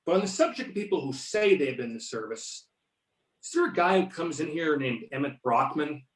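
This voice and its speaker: A man's voice: a little high, big pitch swings, brisk. Distant, echoey man playing a wry federal agent. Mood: friendly, probing. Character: wry male FBI agent back in his hometown. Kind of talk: amateur readers theater acting. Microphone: videoconference or conference call. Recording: roomy, distant videoconference mic.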